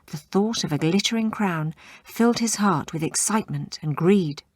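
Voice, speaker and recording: A woman's voice: on the low side, moderate pace, a little mellow, no echo, clean studio sound. A woman reading a story aloud as a scripted read-along narrator.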